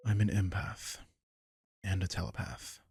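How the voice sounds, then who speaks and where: A man playing a protective, gentle vampire king in an intimate roleplay audio, talking unhurriedly and soothingly to one listener in a gravelly, husky voice. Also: deep voice, unhurried, exaggerated voice. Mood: earnest, confiding. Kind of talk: intimate roleplay audio drama, comforting monologue.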